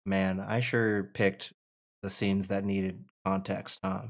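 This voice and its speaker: A man's voice: deep voice, flat delivery, takes it slowly, gravelly. A man reading out the stage directions and narration at a screenplay table read.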